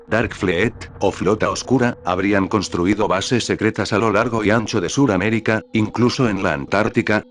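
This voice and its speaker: A man reading scripted documentary narration as the narrator, in a measured, serious manner. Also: lowish voice.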